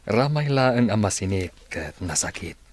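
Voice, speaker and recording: A man's voice: on the low side, big pitch swings, a little slow. A Filipino man playing a stern, moralizing office boss in a radio drama, admonishing and preachy.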